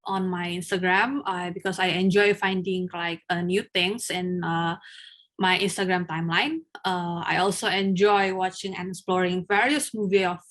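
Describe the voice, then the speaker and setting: A young woman in a mock English speaking test, answering and then asking questions, often pausing with filler sounds. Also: lowish voice, steady tone, a bit bright. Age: young adult. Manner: hesitant, frequent filler sounds. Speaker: test candidate, then examiner.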